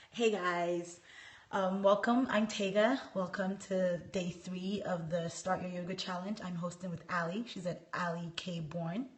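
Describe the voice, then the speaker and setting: A hoarse woman with a cold, teaching a live yoga lesson and talking viewers through a pose as she demonstrates it. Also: on the low side. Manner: talks through poses while demonstrating, stops to greet viewers. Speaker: yoga instructor. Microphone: camera set back across the room. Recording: echoey room, voice far from the mic.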